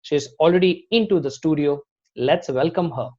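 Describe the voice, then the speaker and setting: Host with formal scripted intros. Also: male voice, on the high side, normal speed, background noise. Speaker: host, video creation coach. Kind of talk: show host introduction and interviewing. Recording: dull lo-fi audio with music bed.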